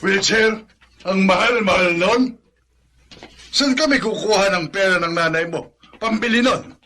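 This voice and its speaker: A mean filipino dad, high sharp voice, scolding and scornful, radio drama. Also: male voice, a little slow, full character voice. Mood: scornful, impatient. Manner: scolding, blunt, impatient.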